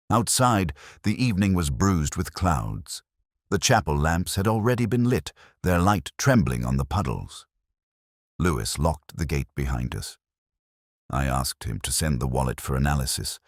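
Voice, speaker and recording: A melancholy police inspector narrates a detective radio drama in an extremely deep voice. His delivery is measured and literary, with deliberate pauses and expressive swings in pitch. The sound is dry and close, and his tone stays wry and brooding. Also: no echo, exaggerated voice. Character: melancholy, wry middle-aged male English police inspector. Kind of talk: scripted first-person detective narration.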